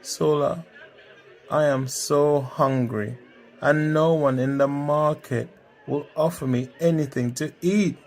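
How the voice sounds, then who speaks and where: A man's voice: fairly high pitch, steady tone, slow, darkish tone, voice pushed up. A man voicing a hungry, impatient young fox in a children's storybook, whining and exclaiming. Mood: complaining.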